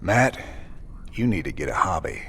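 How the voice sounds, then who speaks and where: An actor playing an easygoing, gruff rebel commander in a video game, gravelly and drily folksy. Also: male voice, sits low, big pitch swings, normal speed, slight background noise, exaggerated voice. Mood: concerned. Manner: folksy, dry, familiar. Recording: loud music behind the voice.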